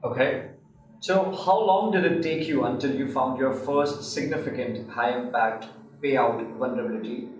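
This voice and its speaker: A man's voice: mid-range voice, normal speed, a little hiss or hum. A man interviewing a guest, asking brief, plain questions. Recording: very echoey, far from mic.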